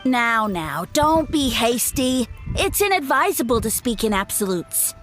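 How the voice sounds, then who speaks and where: A woman's voice: a little high, sing-song, a little slow, fairly bright tone. A woman voicing a boastful little mushroom creature in a video game, speaking in a grandiose, haughty, theatrical way. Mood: haughty, grand. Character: pompous, boastful little mushroom creature posing as a mighty lord. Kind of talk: scripted video game voice acting. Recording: music behind the voice.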